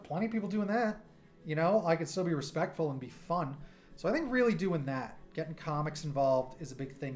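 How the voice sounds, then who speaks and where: A man in his thirties with a New York accent, chatting casually and animatedly while livestreaming his art from home. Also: a little high. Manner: animated, self-deprecating, loose and chatty. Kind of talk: casual rambling livestream chat. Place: home streaming room. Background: soft synth music.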